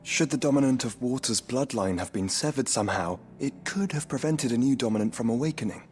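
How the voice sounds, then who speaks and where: A man's voice: mid-range voice, lively intonation, brisk, soft background music, lightly acted. An actor playing a learned, earnest young nobleman in a video game, speaking formally and eloquently. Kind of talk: dramatic video game voice acting.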